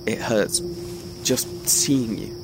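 An actor playing a hurt young ex-boyfriend in an audio drama, sardonic, clipped and dismissive. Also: male voice, fairly low pitch, drawn-out words. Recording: noisy, night insect ambience behind.

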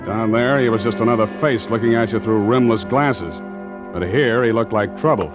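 A man's voice: fairly low pitch, normal speed, natural voice. A man acting a tough, secretive ship's skipper with wry, hard-boiled delivery in a 1940s radio adventure. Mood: brooding narration. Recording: muffled 1940s radio recording, background music.

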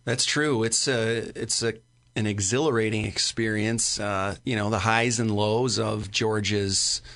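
A man's voice: on the low side, steady tone, unhurried, fairly bright tone. A man speaking casually as an actor being interviewed, hesitant and self-effacing. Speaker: stage actor, interview guest.

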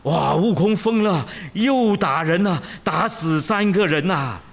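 A man's voice: high voice, animated, measured pace, creaky, long reverb tail, voice pushed up, exaggerated voice. A man voicing a meddling, tattling pig-man disciple with an alarmist, goading storybook manner. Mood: alarmed.

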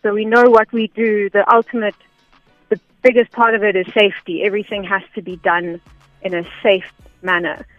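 A woman's voice: mid-range voice, level tone, moderate pace, dry recording, narrow, tinny audio. South african woman chatting as a radio guest, explains stuff plainly, dry sound, music underneath. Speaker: radio interview guest, air traffic information officer. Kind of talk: conversational explanation in an interview. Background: faint background music.

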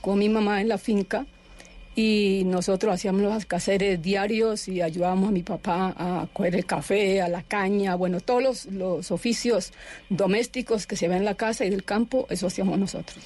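Halting middle-aged woman, off-mic. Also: mid-range voice, fairly even intonation, darkish tone. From Colombia. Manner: halting, with many restarts and fillers. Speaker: interview guest. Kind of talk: personal testimony in an interview. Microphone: sitting too far from the microphone.

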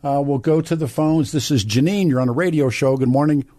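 A man's voice: a little high, a little fast, warm tone, dry recording. An older man hosting live call-in talk radio, speaking in a blunt, opinionated way. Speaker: radio talk show host. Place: radio studio, dry close mic.

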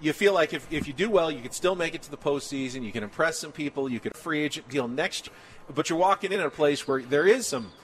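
A man's voice: a little high, lively intonation, fast talker, slight background noise. A man hosting casual sports talk radio, speaking conversationally in long, rolling sentences. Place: outdoor patio at a ballpark complex.

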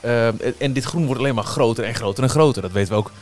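A man co-hosting a casual podcast, talking haltingly and repeating words. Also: medium pitch. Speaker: podcast co-host. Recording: background music under the talk. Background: ambient theme-park music.

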